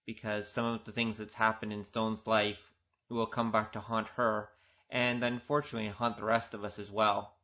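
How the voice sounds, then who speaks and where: A man speaking as an audio drama producer, giving an informal, earnest and conversational director's commentary. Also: lowish voice. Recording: dull, lo-fi recording.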